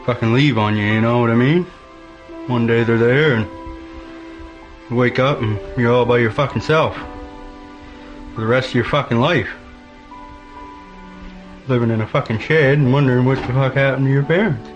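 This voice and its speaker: An actor playing a gruff trailer park man who talks bluntly and swears heavily. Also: normal speed, pauses often, lo-fi sound, faint music behind, close to an everyday voice. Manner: low-key, blunt, heavy swearing.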